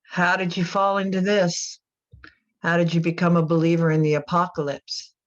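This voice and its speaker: An actress playing a world-weary, religious middle-aged mother in an audio drama, plainspoken, measured and folksy. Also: on the low side, level tone, dull audio, lightly acted. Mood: pointed, probing. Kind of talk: naturalistic podcast radio drama acting.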